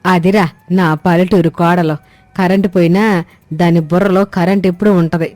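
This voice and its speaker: A woman's voice: lowish voice, little pitch movement, fairly quick, a little mellow, no room sound, close to an everyday voice. Woman doing a bossy old village mother-in-law, scolding, earthy talk, cartoon story, music behind, dry sound. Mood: proud, preaching.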